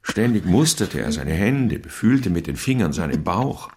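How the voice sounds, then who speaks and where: Grave court physician, middle-aged; low, creaky voice with expressive swings, formal and troubled, radio drama. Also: male voice, normal speed. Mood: troubled, sombre. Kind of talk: radio drama narration and dialogue.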